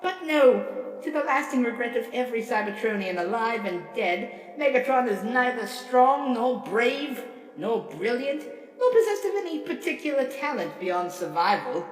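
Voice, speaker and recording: A woman's voice: on the high side, very animated, moderate pace. A woman voicing a vain, grandiose robot leader testifying in court in a theatrical, pompous, oratorical dramatic reading. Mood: scornful, impassioned. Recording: echoey hall reverb, distant, music behind.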